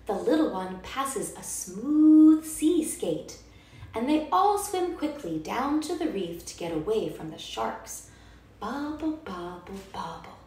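A woman's voice: a little high, darkish tone, heavy reverb, recorded from a distance, a little hiss or hum. A woman reading a children's picture book aloud as its author, in a rhythmic, sing-song delivery.

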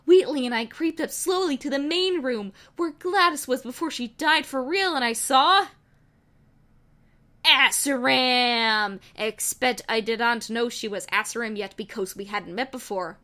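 A woman's voice: fairly high pitch, unhurried, sharp tone. A woman narrating amateur fan fiction as a dramatic comic reading, in an earnest, melodramatic manner.

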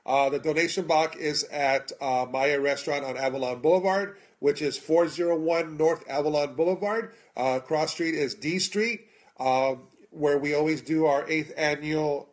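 An American man in his forties speaks off the cuff to his phone in a room, with a slightly high voice. He sounds earnest and halting as he makes a community appeal, repeating words to stress them. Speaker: charity organizer making a video appeal. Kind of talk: casual, unscripted appeal to camera. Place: small indoor room. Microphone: phone at arm's length.

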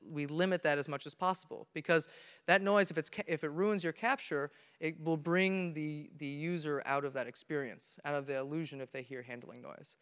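A man's voice: on the high side, fairly bright tone, smooth. A young man giving a technical conference presentation on a handheld mic, explaining things step by step in an earnest way and often restarting his sentences. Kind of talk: technical talk on stage. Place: large conference hall with audience. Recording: reverberant hall from a camera.

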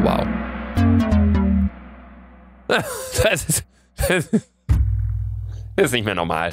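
A young man with a cold chats excitedly into a close mic while he plays a quiz game. He reads each answer out and swears casually when he gets one wrong. Music plays clearly underneath his voice. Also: on the high side. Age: young adult. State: has a cold. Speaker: streamer playing a meme quiz. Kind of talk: casual gaming commentary. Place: indoor room at a desk. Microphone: studio mic near the face. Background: background music.

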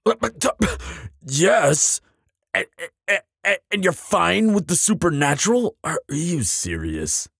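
A man voicing a proud, grumpy but soft-hearted bogeyman in an audio roleplay, with a gravelly rasp and a pompous, easily flustered delivery. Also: high-pitched, very animated, takes it slowly, no echo, studio quality, heavily acted. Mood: flustered, indignant. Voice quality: raspy, gravelly. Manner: pompous, flustered stammering, dramatic.

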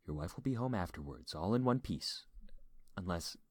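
A man's voice: sits low, moderate pace, husky, dry recording, clean studio sound. A man voicing a fearful female emergency dispatcher in an audiobook, with a shaky, hesitant, secretive manner. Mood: hushed, ominous.

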